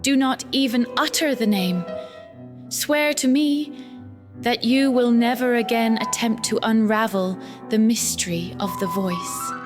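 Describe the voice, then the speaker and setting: Actress as a solemn, secretive young heroine for audio drama; measured and formal, very slow, music underneath. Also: female voice, a little high, natural voice. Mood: grave, insistent. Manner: measured, formal, grave delivery. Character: Christine, composed, solemn, enigmatic young woman.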